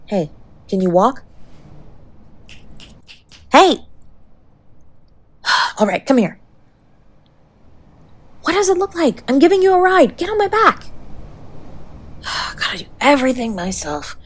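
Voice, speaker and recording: A woman playing a tough, sharp-tongued young woman who is secretly tender, in an intimate roleplay audio drama spoken to the listener. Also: sits high, very animated, slow pace, pauses often, full character voice. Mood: brusque, concerned. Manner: brusque, bossy and teasing with urgent pleading.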